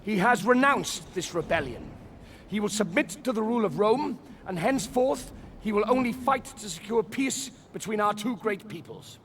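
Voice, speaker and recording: An actor playing a formal Roman officer making solemn proclamations in a video game cutscene. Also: male voice, high-pitched, expressive, normal speed, a bit bright, slight background noise. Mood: solemn, proud. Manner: declamatory, formal.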